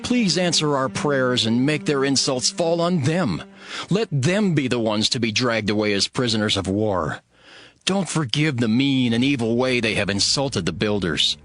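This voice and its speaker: A man's voice: medium pitch, expressive, moderate pace, fairly bright tone, soft background music, close to an everyday voice. A man playing a resolute, devout leader narrating dramatized scripture in an earnest, declamatory way. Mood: pleading. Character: Nehemiah, resolute devout male leader rallying his people.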